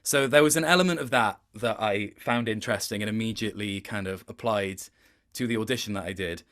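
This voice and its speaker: A man giving a casual interview as a voice actor, thoughtful and halting, often interrupting himself. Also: on the low side, fairly bright tone.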